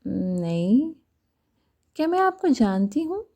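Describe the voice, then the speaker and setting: A guarded young woman from a love story, voiced by a female narrator, speaks slowly and hesitantly. Her tone is very mellow with a creaky edge, and her replies are short. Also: a little high, sing-song, exaggerated voice.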